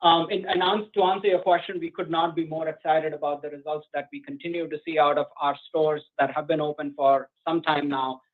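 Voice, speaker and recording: A male company executive explaining things in a measured way on an earnings call, over a conference phone line. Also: on the high side, steady tone. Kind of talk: earnings call answers to analysts.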